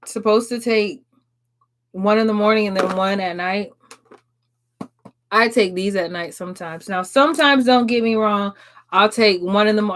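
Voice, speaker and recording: A woman, chatting frankly and casually on a live stream, swearing and laughing often. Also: medium pitch. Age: 30s. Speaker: livestream host answering viewers.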